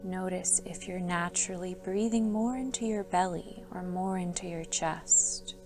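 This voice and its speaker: A woman yoga instructor guides a sleep meditation in a soft, soothing voice, unhurried and drawn out with calm pauses. Her tone carries a gravelly fry at the ends of phrases, and gentle music plays behind her. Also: lowish voice. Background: soft background music.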